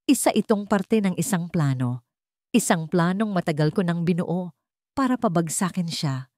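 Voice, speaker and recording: A woman's voice: on the low side, moderate pace, dry recording, studio quality, close to an everyday voice. A woman voicing a coldly determined, vengeful witness in a story, speaking firmly and steadily. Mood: cold resolve. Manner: firm, steady.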